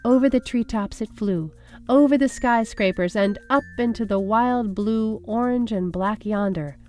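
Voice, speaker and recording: A woman reading children's picture books aloud in an expressive storytelling style, with music behind her. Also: a little high, measured pace. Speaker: storybook reader. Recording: music under the voice. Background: background music.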